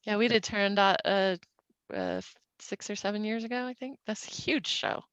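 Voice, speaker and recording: A woman's voice: mid-range voice, huge pitch swings, moderate pace, fairly bright tone, clear, resonant tone, dry recording, lo-fi sound. A middle-aged woman from Utah chatting casually on a podcast, laughing often. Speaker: podcast guest. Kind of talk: casual chat.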